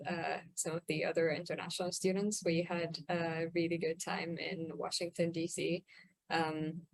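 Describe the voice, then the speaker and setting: A young woman from Finland answering a panel question on a video call, hesitant with many pauses and "um"s. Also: fairly low pitch, creaky, no echo, poor audio. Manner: hesitant, frequent "um" and "uh". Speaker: student panelist.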